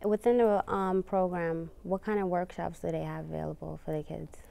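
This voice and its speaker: A woman interviewing a guest, asking measured, slightly hesitant questions. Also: fairly low pitch, fairly even intonation, vocal fry, mellow.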